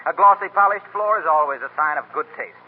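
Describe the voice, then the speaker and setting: A man's voice: on the high side, fast. A man announcing a 1930s radio commercial in a polished, salesmanlike manner. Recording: tinny 1930s radio broadcast, faint noise.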